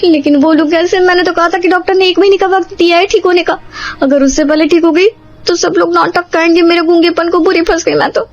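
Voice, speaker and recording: A woman's voice: extremely high pitch, steady tone, brisk. A woman voicing a distressed, trapped young daughter-in-law in a cartoon story, whining and exclaiming. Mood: panicked. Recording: slightly dull audio, music behind.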